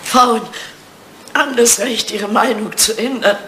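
An older woman playing a sharp-tongued, teasing English widow of high standing in a German radio play, with a dry, probing manner. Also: unhurried, a little hiss or hum. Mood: soft resolve.

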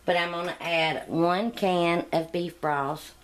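A woman's voice: on the low side, steady tone, takes it slowly, background noise. Chatty woman, homey kitchen talk. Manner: chatty, homey, rambling asides. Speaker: cooking channel host. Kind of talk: casual cooking demonstration. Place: home kitchen. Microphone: camera mic, speaking off camera.

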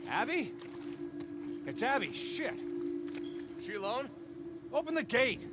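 A gruff gate soldier. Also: male voice, extremely high pitch, very animated, over loud music, exaggerated voice. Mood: brisk. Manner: brisk, barked orders. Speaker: game character. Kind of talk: video game cutscene acting.

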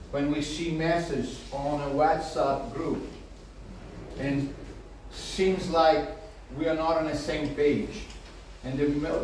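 A man whose first language is Portuguese, speaking earnestly and apologetically to a seminar audience as race organizer, with halting restarts. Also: fairly high pitch, darkish tone, slight background noise. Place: meeting room with large windows. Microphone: far camera. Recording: echoey room from far off.

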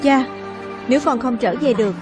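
A woman reading all the characters' dialogue in a dub of a children's animated fantasy. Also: fairly high pitch, normal speed. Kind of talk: dubbed narration of a children's animated fantasy, voicing every character. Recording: music playing behind the voice. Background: music.

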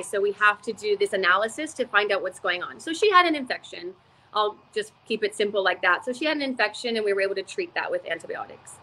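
A woman's voice: mid-range voice, bright, forceful tone, a little hiss or hum, poor audio. A woman in her forties giving a plain-spoken explanation as a guest on a video call. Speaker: wildlife rescue guest. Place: animal rescue facility with pools and cages.

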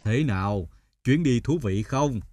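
An actor playing a stern, wise older male teacher in an animated folk tale, speaking in a measured, didactic way. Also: mid-range voice, background noise, lightly acted. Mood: calm inquiry.

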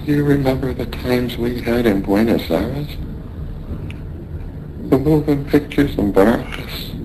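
An actor playing a dying, wistful seasoned sailor in a radio drama, talking haltingly and wearily in a weak, gravelly voice. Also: male voice, natural voice. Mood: fond reminiscing. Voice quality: gravelly, creaky. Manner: halting, weary, drifting. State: weak, failing. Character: dying, wistful seasoned American sailor reminiscing to his mate. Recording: noisy radio drama with rumbling ambience.

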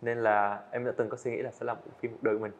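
Chàng trai trẻ nói nhanh, giọng hơi đục. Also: male voice, lowish voice, level tone, muffled recording, a little hiss or hum. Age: young adult. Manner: earnest, fast-talking, frequent small restarts. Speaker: student animator guest. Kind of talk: casual interview answers. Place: indoor studio set.

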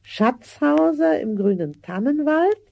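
A woman playing a worried, homely older mother in a 1970s fairy-tale audio drama, speaking in a halting, motherly way. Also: sits high, sing-song, creaky, no echo, dull audio, full character voice. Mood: reminiscing.

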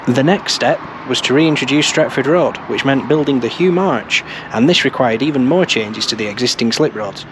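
A man's voice: mid-range voice, moderate pace, few pauses. A man in his thirties giving informative, wry commentary to camera as a vlog presenter. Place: outdoors beside busy urban roads. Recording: outdoor street noise from passing cars.

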